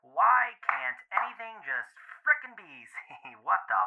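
An actor playing an anxious, wisecracking, foul-mouthed boyfriend, rambling and sardonic in a found-footage audio drama. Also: male voice, high voice, sing-song, a little slow, gravelly, phone-quality sound, heavily acted. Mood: rattled, weary.

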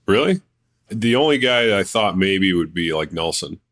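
Male podcast host, low voice, dry booth sound, easygoing and filler-heavy. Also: moderate pace, no room sound. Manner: relaxed, filler-heavy questioning. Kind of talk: casual interview talk.

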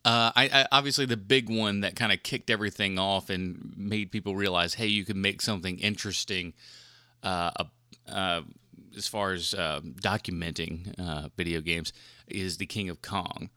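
A man's voice: low voice, steady tone, normal speed, pushed and bright, no echo, studio quality. A man hosting a film podcast solo in a casual, rambling, informal and mildly profane way. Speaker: podcast host reviewing films.

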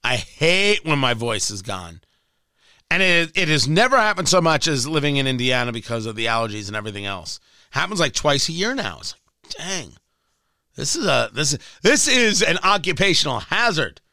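A man's voice: on the high side, very animated, normal speed, pushed and bright, no echo. A male radio talk show host whose voice is slightly strained, talking in a rambling, emphatic monologue with frequent restarts from his home studio. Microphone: studio mic, working from home.

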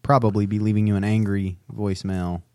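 Lowish-voiced man, wry and sarcastic. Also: level tone, a little fast, a little mellow, no echo, clean studio sound. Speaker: podcast co-host. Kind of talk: casual chat.

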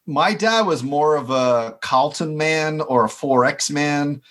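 A man's voice: fairly high pitch, slow. A man with an Australian accent, a podcast guest chatting casually and laughing now and then. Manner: relaxed, chatty, laughs often. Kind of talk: casual chat.

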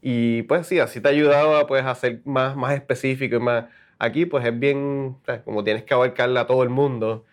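Puerto Rican male copywriter, casual guest, halting delivery, drops English words. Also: medium pitch, fairly even intonation. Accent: Puerto Rican Spanish. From Puerto Rico. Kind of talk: casual interview.

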